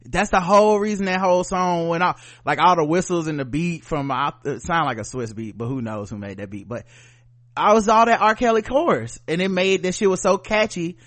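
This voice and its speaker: An American man hosting a podcast, chatting casually and comedically with animated, profane riffing. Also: high voice, a little fast, no echo. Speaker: podcast host.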